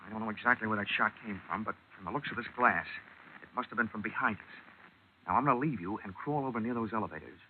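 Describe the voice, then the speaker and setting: An actor playing a wisecracking, hardboiled male investigator in a 1940s radio drama, with a wry, deadpan, tough manner. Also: on the low side, fast talker, slight background noise, natural voice. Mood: cool, firm. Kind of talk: 1940s radio detective narration and acting. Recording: dull old 1940s radio recording.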